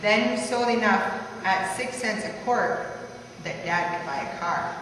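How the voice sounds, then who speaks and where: A woman's voice: mid-range voice, a little slow. A woman reading a rhyming life story aloud in a sing-song way at a family gathering. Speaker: family member reading a verse tribute. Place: large echoey room at a party gathering. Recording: echoey hall from far off, background noise.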